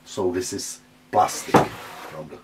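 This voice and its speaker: A man with a Czech accent hosting his own channel, talking casually in halting English as he shows vintage speakers on a table, often stopping with "uh" to find his words. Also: low voice, fairly even intonation, heavy background noise. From Czech Republic. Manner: halting, searching for English words, many "uh" fillers. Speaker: channel host. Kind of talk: casual hands-on speaker demo.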